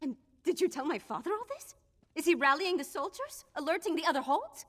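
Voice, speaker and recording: A woman's voice: high-pitched, very animated, fairly quick, fairly bright tone. An actress playing a strong-willed young princess in a fantasy video game, earnest and intense as she grieves her mother. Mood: urgent.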